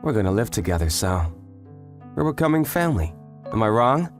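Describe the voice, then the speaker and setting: A man playing a tough but kind, doting yakuza in a manga dub, swinging from blunt and gruff to excitable. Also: on the low side, a little fast. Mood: gruff, caring. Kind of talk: animated manga dub character acting. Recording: voice over background music.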